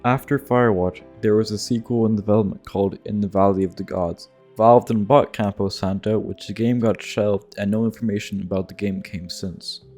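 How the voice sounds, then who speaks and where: Male narrator, lowish pitch, casual scripted gaming commentary, irreverent delivery, guitar music bed. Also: fairly even intonation, moderate pace, hardly any pauses, a little mellow. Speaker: video essay narrator. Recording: music behind the voice.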